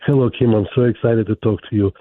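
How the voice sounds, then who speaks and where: A man's voice: on the low side, fairly even intonation, fast talker, no echo. Ukrainian-accented man calling in by phone. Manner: eager and polite. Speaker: caller. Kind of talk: call-in conversation. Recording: tinny phone line.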